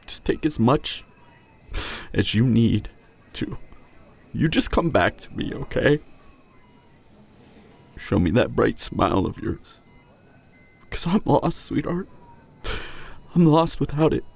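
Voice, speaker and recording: A man playing a tender, grieving young hunter boyfriend in an intimate, emotional audio drama, halting and husky, often dropping toward a whisper. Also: mid-range voice, big pitch swings, a little mellow, exaggerated voice. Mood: tender, pleading. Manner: halting, emotional, long pauses. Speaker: audio roleplay voice actor.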